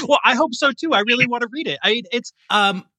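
A man's voice: very high-pitched, moderate pace, sharp tone, no echo, rough recording. A man hosting a conversational academic interview, thinking aloud in tentative, circling phrases. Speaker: podcast host and interviewer.

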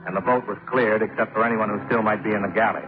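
An American actor playing a hard-boiled, wry investigator, narrating a 1950s radio detective drama in a clipped, matter-of-fact way. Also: male voice, fairly low pitch, fairly even intonation, fast, natural voice. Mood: wry narration. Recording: tinny old 1950s radio recording, music behind.

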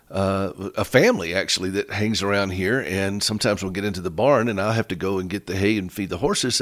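A middle-aged man with a slightly hoarse voice, hosting a caregiver radio show in a folksy, emphatic storytelling way. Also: medium pitch. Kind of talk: conversational radio talk. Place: rural home in the mountains.

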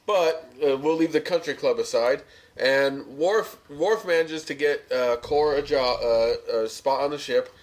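A man's voice: a little high, measured pace. An American man co-hosting a podcast, chatting casually through a review in an opinionated, sardonic way. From United States. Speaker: podcast co-host.

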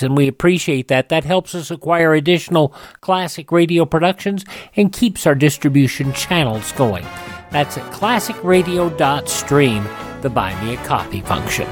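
A man hosts a radio program in a scripted sign-off style. His voice is a little high and very lively in pitch, with faint music behind him. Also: no room sound. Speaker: classic radio show host.

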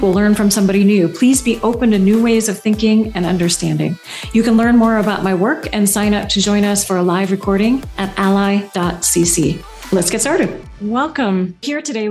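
A woman hosting a podcast, reading a scripted intro and then leading a live panel in a measured, deliberate way. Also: mid-range voice, a little mellow. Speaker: podcast host.

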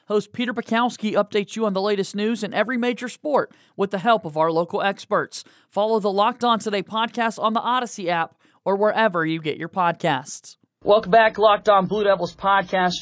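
A man's voice: high-pitched, dry recording, studio quality. A man hosting a sports podcast, moving between introductions, sponsor reads and interview questions. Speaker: podcast host.

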